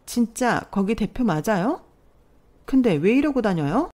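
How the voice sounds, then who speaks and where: A woman voicing a spoiled, haughty young sister-in-law who talks in a shrill, indignant voice. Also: big pitch swings, a little slow, a little mellow. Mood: disbelieving.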